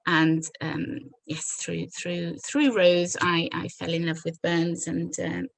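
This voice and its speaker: A woman's voice: fairly low pitch, fairly even intonation, slow pace, gravelly. A middle-aged woman from France chairing a meeting and introducing herself informally, in hesitant, broken phrases.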